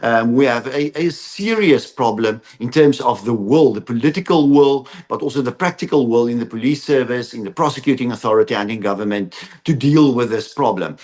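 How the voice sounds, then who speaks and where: South African man, halting delivery. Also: medium pitch, a little mellow. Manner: hesitant, halting phrasing with repeated words. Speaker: interview guest, advocacy organisation representative. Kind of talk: explanatory interview answers.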